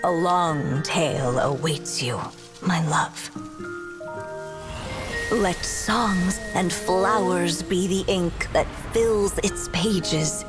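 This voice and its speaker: A woman's voice: lowish voice, music in the background. A tender, solemn mother figure. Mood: tender farewell. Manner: slow, solemn, loving. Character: tender mother figure bidding a child farewell. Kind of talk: game cutscene narration.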